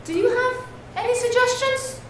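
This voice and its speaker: A woman's voice: extremely high pitch, moderate pace. A woman performing a stage monologue as an exasperated working woman fed up with being told to smile, indignant and emphatic in a theatrical way. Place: indoor live performance space. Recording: roomy echoing hall, distant mic, faint noise.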